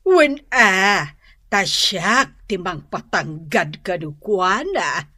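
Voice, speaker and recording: A woman's voice: medium pitch, expressive, bright, forceful tone, dry recording, full character voice. An actress playing a doting, bossy, sentimental older grandmother in a comedic radio drama, with an Ilocano accent and wailing exclamations. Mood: bossy, fussing. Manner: melodramatic, wailing exclamations and drawn-out cries.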